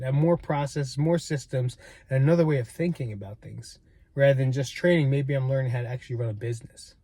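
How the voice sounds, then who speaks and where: A man's voice: mid-range voice, darkish tone, no echo. A man who works as a personal trainer, talking candidly and reflectively to his channel, rambling and self-deprecating with some swearing. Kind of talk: reflective casual monologue.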